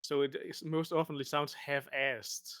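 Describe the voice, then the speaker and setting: A man's voice: on the high side, flat delivery, unhurried, a bit bright, smooth, no echo, clean studio sound. A man in a casual chat, speaking hesitantly with slightly non-native phrasing. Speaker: podcast co-host.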